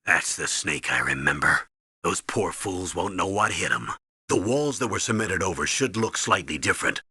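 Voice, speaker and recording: Older American military commander for a game: authoritative, clipped orders, gravel-edged, dry close sound. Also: male voice, sits low, lively intonation, normal speed, sharp tone, dry recording, heavily acted. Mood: steady. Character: stern older military colonel giving mission orders by radio. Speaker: mission commander and briefer. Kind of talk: scripted video game radio briefing.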